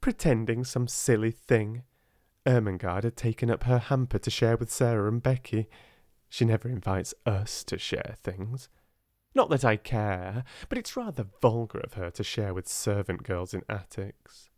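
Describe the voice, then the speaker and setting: A man's voice: on the low side, normal speed, darkish tone, no room sound, studio quality, close to an everyday voice. A man with a British accent voicing a priggish, spiteful schoolgirl in an audiobook, snappish and self-righteous. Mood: disdainful.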